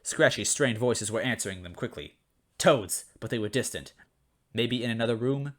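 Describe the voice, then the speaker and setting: A man's voice: medium pitch, normal speed, a bit bright. A man narrating a comic first-person story as a read-aloud. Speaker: story reader.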